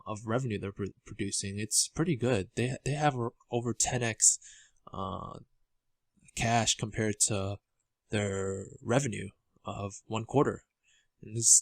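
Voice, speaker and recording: Low-voiced man with halting delivery. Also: gravelly, dry recording. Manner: halting, stammering delivery that repeats words. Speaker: video host, stock commentator. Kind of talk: informal financial commentary over documents on screen.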